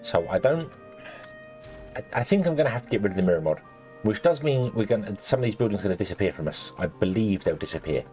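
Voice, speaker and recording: Croaky man with a low voice. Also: lively intonation, quick pace, darkish tone, soft background music. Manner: rambling, lots of ums and self-corrections. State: slightly croaky, losing his voice. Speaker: let's play host. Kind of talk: casual gameplay commentary.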